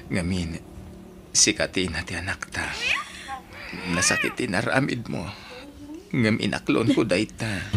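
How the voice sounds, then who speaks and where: A man playing a forgiving, devout husband in an Ilocano radio drama, gentle and consoling, with an Ilocano accent. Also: mid-range voice, gravelly. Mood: earnest, forgiving.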